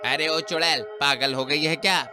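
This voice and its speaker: A man's voice: fairly high pitch, steady tone, normal speed, close to an everyday voice. Man acting a cheeky little city guy, music behind. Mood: defiant. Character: short, cheeky, jumpy young man from the city. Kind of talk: animated Hindi horror-comedy story acting.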